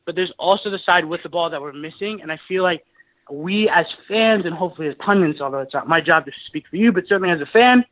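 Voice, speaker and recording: Thirties male caller, high-pitched and earnest, lots of restarts, dull phone-line sound, dry. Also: fast talker, no room sound. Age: 30s. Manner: earnest, many restarts and fillers. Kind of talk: call-in radio talk.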